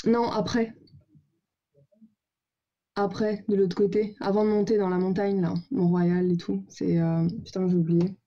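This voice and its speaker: Woman, 30s, relaxed guest storyteller, mellow tone, slightly muffled call audio. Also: medium pitch, a little slow, pauses often. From Paris, France. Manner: relaxed, many "euh" and "bah" fillers, searches for words. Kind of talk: casual interview storytelling. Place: home over a call.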